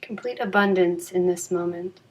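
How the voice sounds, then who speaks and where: A woman leading a guided yoga nidra relaxation in a calm, soothing, unhurried way with long pauses. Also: fairly low pitch.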